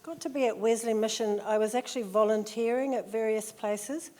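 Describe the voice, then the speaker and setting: A middle-aged woman with a New Zealand accent, chatting warmly with plenty of ums in a church interview. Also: fairly high pitch, steady tone, fairly quick. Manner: warm and chatty, with many ums. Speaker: social worker. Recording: echoey hall with long reverb.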